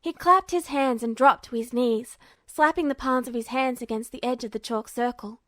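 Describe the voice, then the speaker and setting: Female audiobook narrator for fan fiction: high register, scripted storytelling, close dry sound. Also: moderate pace, fairly bright tone, dry recording.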